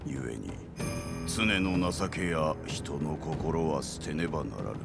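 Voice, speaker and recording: A man's voice: a bass voice, lively intonation, slow, creaky, loud music behind the voice, exaggerated voice. An actor playing a cold, ruthless older warlord father in a game, grave and commanding in archaic speech. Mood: cold, menacing. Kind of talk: dramatic video game cutscene acting.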